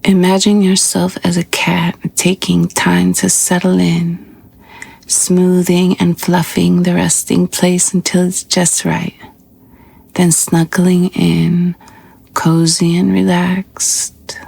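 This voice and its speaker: Woman doing a sleep meditation, super slow and flat, husky gravelly voice, soft and hushed. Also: lowish voice. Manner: slow, soothing, hushed delivery with long pauses. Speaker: yoga nidra meditation guide. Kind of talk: guided sleep meditation, scripted.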